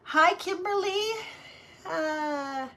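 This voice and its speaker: Middle-aged lady on a craft livestream, all stuffed up and nasal, friendly chatter, bit of low hiss behind her. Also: extremely slow, sharp tone, low-quality recording. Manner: chatty and friendly, greets viewers by name. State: congested, nasal from sinus trouble. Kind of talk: casual live chat greeting viewers.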